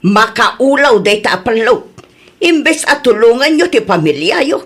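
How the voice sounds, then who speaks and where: A woman's voice: on the high side, expressive, a little slow, breathy edge. A woman with an Ilocano accent playing a stern, fed-up older village mother in a radio drama, grumbling and scolding.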